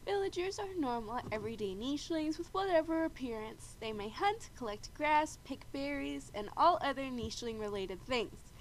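A woman's voice: high-pitched, moderate pace, hardly any pauses, poor audio. A woman with a congested, stuffy voice from a cold, casually narrating gameplay and explaining rules as the host. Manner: frequent throat clearing, chatty and explanatory. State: congested after a bad cold. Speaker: video game host. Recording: steady background noise.

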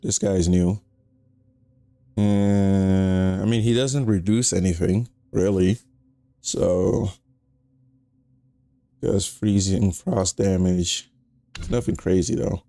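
A young man with a raspy, just-woken-up voice, giving laid-back, slangy commentary while playing a video game. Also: low-pitched, fairly even intonation, slow, long pauses, dark tone, no echo. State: just woke up. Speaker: gaming channel host. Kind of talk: casual gameplay commentary.

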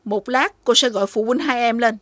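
A woman's voice: a little high, fairly quick, fairly bright tone, no echo, clean studio sound, close to an everyday voice. A woman voicing a stern cow schoolteacher in a children's cartoon, scolding and instructive. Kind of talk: animated children's cartoon acting. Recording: faint music behind the voice.

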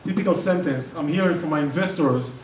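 A man's voice: fairly high pitch, fairly quick. A middle-aged man with an Israeli accent giving a live seminar talk, chatty, with restarts and joking asides. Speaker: real estate investing presenter and group organizer. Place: meeting room with projector. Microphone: far camera. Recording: roomy echo, distant camera, faint noise.

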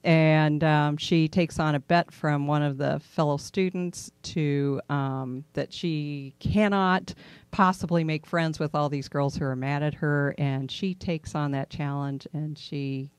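A woman's voice: low-pitched, fairly even intonation, takes it slowly, clean tone, no echo. A middle-aged woman chatting casually as she gives book picks, close to a studio microphone. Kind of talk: casual chat. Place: indoor studio in a library. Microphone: close studio microphone on a boom arm.

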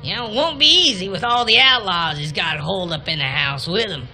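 Folksy american western game townie creature, really bright cutting voice, brash, faint noisy background. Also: female voice, mid-range voice, expressive, fairly quick. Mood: businesslike. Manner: folksy, colloquial. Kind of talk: cartoonish western video game acting.

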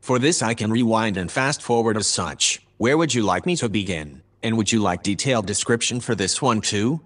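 A calm, formal male AI acted by a man speaks in a sci-fi audio drama. The voice is fairly low, measured and dispassionate, laying out each detail methodically. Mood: detached. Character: calm, formal male artificial intelligence reporting grim events.

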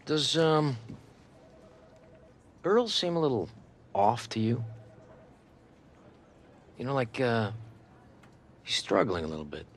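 A man playing a smooth-talking, scheming middle-aged football general manager in a cinematic game, with a chummy salesman's manner. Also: medium pitch, sing-song, a little hiss or hum. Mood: conspiratorial. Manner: salesman-like, chummy, fast-shifting emphasis. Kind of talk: naturalistic cinematic game acting.

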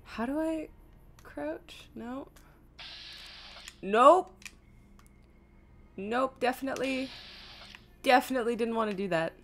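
A woman's voice: high voice, slow pace, vocal fry, pauses often, slight background noise. A woman giving playful, chatty, casual commentary as she streams a game.

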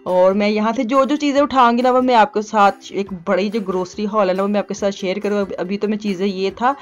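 A woman's voice: medium pitch, fairly even intonation, fairly quick, faint music behind. Chatty woman vlogger, lo-fi. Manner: rambling, homely and conversational. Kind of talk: chatty voice-over narration. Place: home, recorded as voice-over.

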